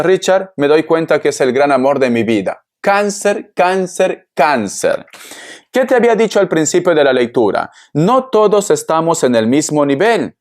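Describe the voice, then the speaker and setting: A man's voice: fairly high pitch, a little mellow. A man giving an informal tarot reading, addressing the listener with pet names and repeated phrases in a dramatic manner. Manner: dramatic, acts out imagined replies from the viewer. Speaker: tarot reader, host.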